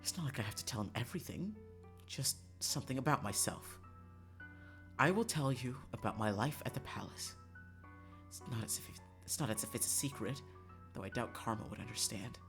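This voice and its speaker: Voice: mid-range voice, expressive, fairly quick, a bit bright. Haughty, guarded young princess read by a streamer, formal, dismissive, music underneath.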